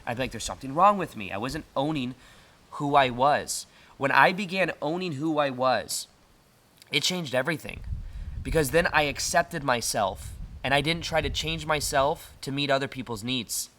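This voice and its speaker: A man with an American accent and a naturally loud voice, talking earnestly in a casual motivational monologue to the camera. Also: medium pitch, lively intonation, normal speed. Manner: earnest, fillers and restarts. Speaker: self-help video host. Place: retreat in Costa Rica.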